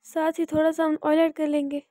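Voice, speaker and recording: A woman's voice: sits high, little pitch movement, brisk, mellow, no room sound. A woman hosting a cooking channel, narrating a recipe step by step in a plain, repetitive instructional voice-over.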